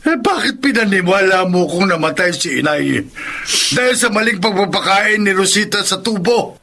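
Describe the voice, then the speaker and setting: An actor with a Filipino accent playing a conscience-stricken younger brother, earnest and emphatic, in a radio drama. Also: male voice, sits high, lively intonation, moderate pace, creaky, bright, forceful tone, heavily acted. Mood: reproachful.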